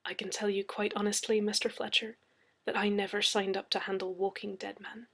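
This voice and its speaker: A woman's voice: medium pitch, flat delivery, brisk, fairly bright tone, husky. Woman voicing a stiff, sceptical police detective man. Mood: dismissive, exasperated. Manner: formal, stiff, dismissive. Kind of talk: dramatic audiobook character reading.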